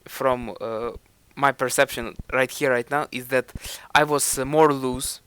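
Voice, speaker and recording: Slow, smooth-voiced Ukrainian-accented young man. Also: medium pitch, fairly even intonation, fairly bright tone, no echo. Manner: thoughtful, searching for words. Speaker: vlogger. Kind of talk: reflective commentary on his old video.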